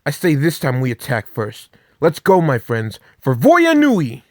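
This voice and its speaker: A man playing a stern, defiant resistance leader in an animated fan dub. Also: on the high side, a little slow, no echo. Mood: defiant, angry. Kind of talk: dramatic fan dub acting.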